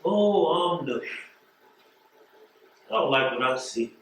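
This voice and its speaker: A man acting a blunt postal carrier in a stage play, in a fairly high male voice. He lectures emphatically and with disappointment. The voice rings in a very echoey space, some way from the mic, with faint noise. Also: expressive, slow, far from the mic. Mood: pointed. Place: theatre stage.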